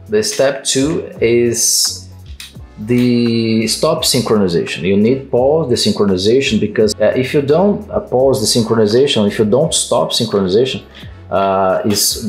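A man from Brazil leads a software tutorial in English, his Brazilian Portuguese accent plain and his sentences broken up by frequent fillers. His voice is slightly low and he is recorded on a headset in an echoey room, with soft music behind him. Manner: halting non-native English with many fillers. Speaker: tech tutorial host.

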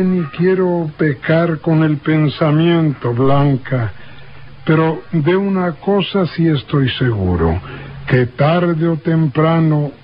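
Grave pious priest in old radio drama, slow talker, muffled noisy recording. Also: male voice, a little high. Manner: solemn, deliberate.

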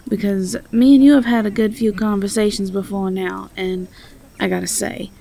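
A woman acts out a young Southern belle who runs a farm in an audio roleplay. Her voice is relaxed and mellow with some fry in it. She talks in a folksy, sweet and quick-witted way. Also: medium pitch, rough recording. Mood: sarcastic. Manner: warm, folksy, teasing.

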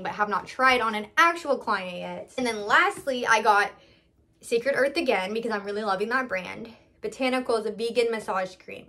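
Young woman chatting, slightly high voice. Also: a bit bright. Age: young adult. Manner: candid, conversational, thinking aloud. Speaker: salon owner vlogger. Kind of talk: casual product haul chat. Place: small indoor room.

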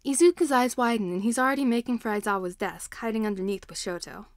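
A woman's voice: fairly high pitch, quick pace, no echo. Woman narrating a story, dry close sound. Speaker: fan fiction narrator. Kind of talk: expressive scripted story narration.

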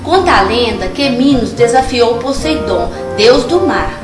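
A woman's voice: fairly high pitch, lively intonation, a little slow, recorded from a distance. A woman voicing a kindly, learned old grandmother who patiently tells stories in a cartoon. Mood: storytelling, grave. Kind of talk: storytelling in an amateur cartoon. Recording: roomy echo, music behind.

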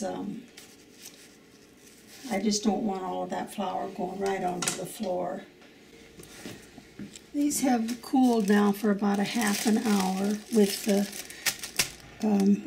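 An older woman explains a family recipe from her home kitchen, taking her time over each step. Her voice has a slight gravelly edge, and faint noise sits under it. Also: mid-range voice, warm tone, distant mic, low-quality recording. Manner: slow, homely explaining. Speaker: home cook. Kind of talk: cooking demonstration.

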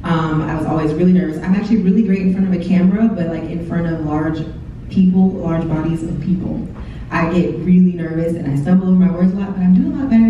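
A young woman from Texas speaks on a panel in a chatty, candid way, often acting out her own thoughts. Her voice is low and mellow. She is heard from across a large, echoey hall, and the sound is a bit dull. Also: steady tone, fast, recorded from a distance, a little hiss or hum. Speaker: YouTuber on a panel. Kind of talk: casual panel talk. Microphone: handheld mic, heard from a far camera.

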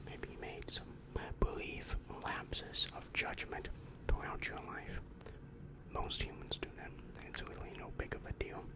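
A young man playing a calm, reassuring demon guidance counselor in an ASMR roleplay, whispering breathily and patiently explaining, close to the microphone. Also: lightly acted, rough recording. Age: young adult. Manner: slow, soothing, patient explaining. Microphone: close-up microphone with foam windscreen.

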